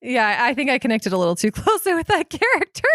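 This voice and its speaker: A woman's voice: high voice, big pitch swings, quick pace, a bit bright, no room sound, studio quality. A woman co-hosting a podcast, chatting casually in an easygoing, giggly way. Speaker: podcast co-host. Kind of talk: casual chat.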